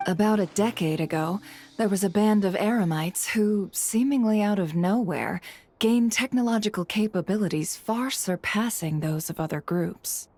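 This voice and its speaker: A woman playing a composed, worldly storyteller in a video game, speaking in a measured, coolly wry manner. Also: fairly low pitch, darkish tone. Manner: measured, poised. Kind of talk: video game character acting.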